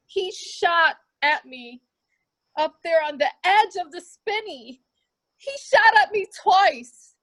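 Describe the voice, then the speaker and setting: A woman playing a shaken, wounded servant in an amateur mystery play, speaking haltingly and dramatically. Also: very high-pitched, heavily acted. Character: distraught, wounded servant reporting a fatal shooting. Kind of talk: amateur murder mystery radio play acting.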